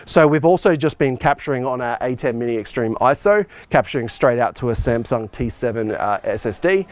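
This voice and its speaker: A man's voice: mid-range voice, expressive, a little fast. Man giving an informal technical walk-through. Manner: casual, unscripted, practical explaining. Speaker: school arts and IT department head giving a behind-the-scenes tour. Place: on the move through a school tech room and performance venue.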